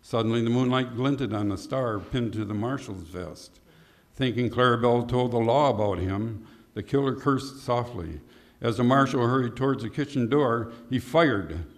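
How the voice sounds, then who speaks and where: A man's voice: mid-range voice, normal speed. A man narrating a scripted Western adventure in the style of an old-time radio drama. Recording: clearly noisy background.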